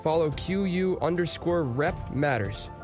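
A man's voice: on the high side, animated, measured pace. A man reading a scripted announcement as a public service narrator. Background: music.

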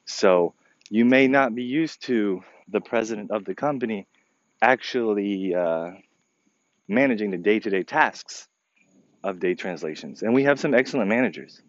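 A man running his company, speaking informally to his staff in a podcast, with a slightly crackly voice and a halting, earnest delivery full of fillers and restarts. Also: lowish voice, big pitch swings, no room sound, highs cut off. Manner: earnest, repeats himself to stress points. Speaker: company president addressing staff. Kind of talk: informal podcast address to employees.

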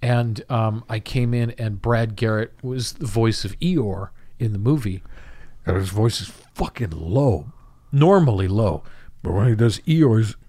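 A man chatting casually on a comedy podcast about voice work, with a soft, quiet way of talking. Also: fairly low pitch, a little slow, no room sound. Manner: soft-spoken, breaks into silly voices. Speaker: voice actor and podcast guest.

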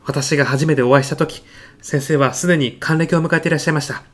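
A man playing an earnest physicist in an audio comedy sketch, speaking formally and reverently of his mentor with deadpan, grandiose solemnity. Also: a little high, quick pace, natural voice. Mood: proud, impassioned. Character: earnest, reverent male physicist, devoted protégé of late professor.

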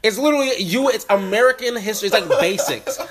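A man's voice: high voice, animated, quick pace, fairly bright tone. A young man in his twenties hosting a podcast, congested and sniffling, in joking, profane banter. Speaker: podcast host. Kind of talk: casual podcast chat.

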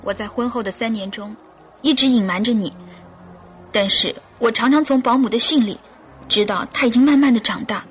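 A woman's voice: on the high side, normal speed, faint music behind, close to an everyday voice. An actress playing an earnest, devoted mother and wife, pleading yet resolute, in classic Mandarin radio drama style. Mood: confessing, sorrowful.

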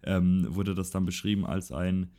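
Low-voiced young man rambling. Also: little pitch movement, normal speed, mellow. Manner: rambling, self-correcting. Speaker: podcast co-host. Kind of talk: casual political chat.

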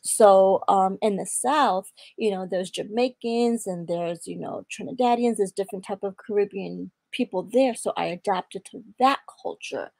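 A young woman from Atlanta, Georgia, giving an earnest personal testimony in a faith interview, quoting scripture and pausing on fillers. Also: medium pitch, creaky, darkish tone, no room sound. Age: young adult. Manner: earnest, preaching tone with scripture quotes. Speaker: guest.

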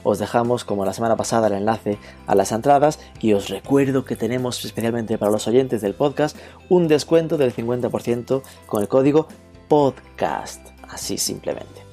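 A man hosting a podcast interview, talking briskly with playful asides. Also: medium pitch, dark tone, faint music behind. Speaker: podcast host.

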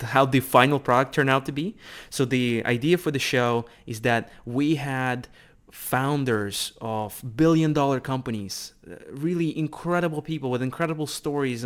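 A man's voice: medium pitch, fairly bright tone. A man hosting an interview, engaged and chatty, sharing his own stories between questions. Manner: engaged, shares own stories, quick affirmations. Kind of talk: casual interview conversation.

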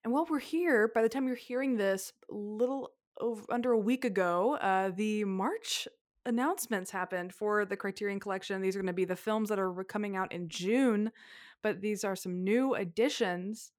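A woman's voice: medium pitch, moderate pace, dry recording. Chatty woman film podcaster, dry close mic. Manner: chatty, laughs often, trails off and restarts. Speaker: podcast co-host. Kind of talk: casual film podcast chat.

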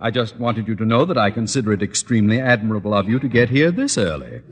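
An actor playing a pompous, exasperated middle-aged school principal in an old radio sitcom, with a sputtering delivery. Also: male voice, lowish voice, sing-song, quick pace. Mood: forced sweetness. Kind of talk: 1950s radio sitcom acting. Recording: vintage radio recording, noisy, reverberant.

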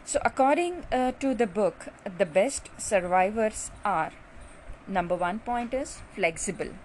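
A woman's voice: medium pitch, expressive, a little slow, a little hiss or hum, poor audio. A middle-aged woman doctor with an Indian English accent hosts a casual podcast, speaking chattily with many filler sounds.

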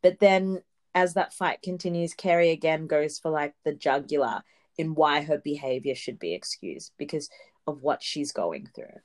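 Lady with a really deep voice, chatty podcast co-host, laughs a lot. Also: expressive, moderate pace. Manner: chatty, laughs often, long explanatory turns. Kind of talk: casual chat recapping reality TV.

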